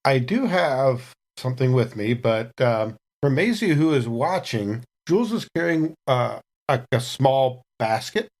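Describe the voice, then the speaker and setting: A man's voice: medium pitch, very animated, unhurried. A man talks through a tabletop game as a player. His voice sits in the middle range and he speaks casually, making it up as he goes. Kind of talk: casual improvised game chat.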